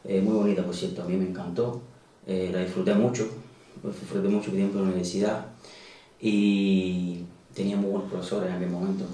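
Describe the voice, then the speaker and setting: A Cuban man in his thirties with a Cuban Spanish accent, talking casually as an interview guest and filling pauses with "eh". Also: low-pitched, little pitch movement, warm tone, recorded from a distance. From Cuba. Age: 30s. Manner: conversational, many "eh" fillers and restarts. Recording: roomy, echoey, voice away from mic.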